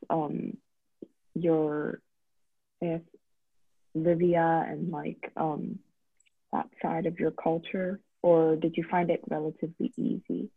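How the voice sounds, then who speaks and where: A thin, phone-like recording of a woman co-hosting a podcast. Her voice is on the low side and quite rough-edged, and it sounds very dry, with no room around it. She asks questions casually. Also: no echo. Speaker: podcast co-host.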